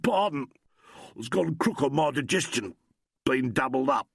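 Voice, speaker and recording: A man playing a rough, grumbling country constable from a storybook, mangling his grammar with every sulky complaint. His voice swings up and down with lively pitch. It sits close and dry, with no room sound at all. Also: measured pace, dry recording, exaggerated voice. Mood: aggrieved. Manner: rough ungrammatical slangy speech, morose. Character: gruff rough-spoken male country constable.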